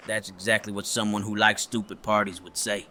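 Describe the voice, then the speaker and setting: A man plays a guarded male survivor in an audio drama, with a fairly low voice and a curt, dry, sarcastic delivery. There is some noise behind him. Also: flat delivery, fairly quick, sharp tone. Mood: wary, dry. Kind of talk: fan audio drama acting.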